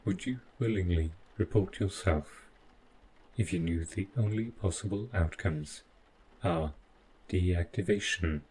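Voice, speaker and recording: A deep-voiced male robot butler, acted out by a man for an audio roleplay. He speaks very slowly in formal, clinical phrases, devoted and possessive with a calm menace. Faint noise sits behind the voice. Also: rough recording. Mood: earnest, pleading. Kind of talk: scripted audio roleplay addressed to the listener.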